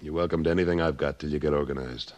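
A man playing a tough, steady cattleman and war veteran in an old-time radio western, firm and terse. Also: a bass voice, very fast. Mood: easygoing.

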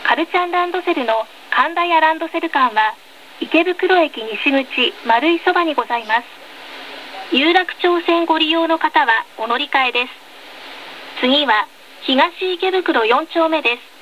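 A woman's voice: sits high, animated, a little slow, a bit bright. A woman reading scripted tram announcements in a polite, carefully enunciated manner. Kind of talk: scripted recorded onboard announcement. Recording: noisy field recording of tram speaker. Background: tram running noise.

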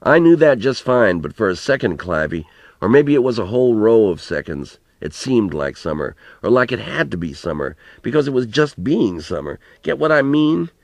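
A man's voice: mid-range voice, big pitch swings, normal speed, gravelly, dry recording. A man reading a storybook as an elderly farmer grandfather, plain-spoken and folksy, telling rambling, earnest reminiscences with some mild swearing. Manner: colloquial rural storytelling, plain-spoken, mildly profane.

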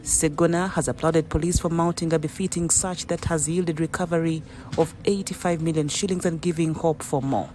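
A man reading a scripted news voice-over in a measured, formal way. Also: a little high, steady tone, background noise. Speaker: news reporter.

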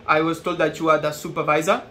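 High-pitched young man making prank calls. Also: flat delivery, fast talker, pushed and bright, slight background noise. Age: 20s. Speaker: prank caller. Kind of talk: prank phone call with feigned seriousness. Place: small plain room indoors.

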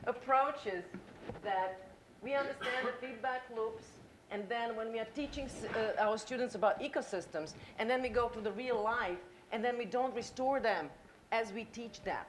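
A woman's voice: a little high, normal speed, background noise. A middle-aged woman from Croatia with a Croatian accent, giving a conference talk in a lecture hall and asking the audience questions. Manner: engaging, asks the audience questions.

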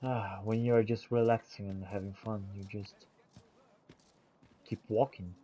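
A man's voice: on the low side, flat delivery, measured pace, mellow, breathy edge. A man giving theatrical, in-character commentary as he plays a video game. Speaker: gamer roleplaying during gameplay. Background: video game ambience.

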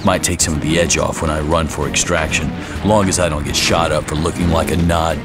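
An American actor playing a battered, defiant army captain in a game motion comic, terse and bitter. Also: male voice, very deep voice, fairly even intonation, brisk, loud music behind the voice. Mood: grim, determined.

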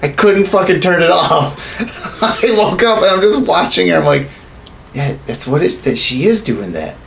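Man, thirties, lively swooping delivery, irreverent, profane, casual podcast chat, noticeable background noise. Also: a little high, normal speed, gravelly. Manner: irreverent, heavy swearing. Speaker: podcast co-host. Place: small home studio room, indoors.

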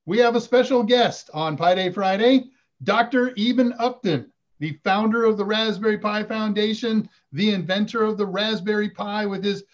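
An older man hosting a live Q&A stream over a video call from home, chatty and relaxed, reading viewer questions aloud. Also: moderate pace, lo-fi sound. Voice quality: fairly high voice. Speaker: host. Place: home room with curtains. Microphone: video call webcam.

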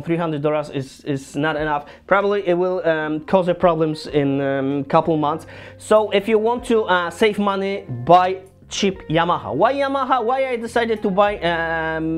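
A man in his thirties from Poland with a Polish accent, a saxophone teacher giving a casual, earnest gear review in halting non-native English, in a small home studio on a camera mic a few feet away. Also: sits high, smooth.

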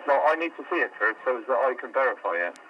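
Quick-talking store clerk on phone. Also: male voice, medium pitch, very animated, muffled recording, noisy background. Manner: patient but exasperated, repeats himself. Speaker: store customer service worker. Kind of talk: phone call.

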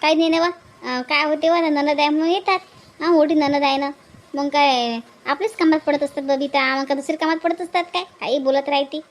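A woman voicing a brisk, caring village woman in a cartoon, chatty and repetitive. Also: sits high, fairly even intonation, lightly acted. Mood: soothing, chiding.

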